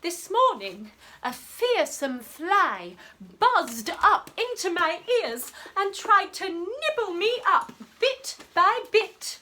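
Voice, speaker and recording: A woman's voice: extremely high pitch, very animated, takes it slowly, pushed and bright, voice pushed up, heavily acted. A woman voicing a frightened female elephant in a storybook tale. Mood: fearful.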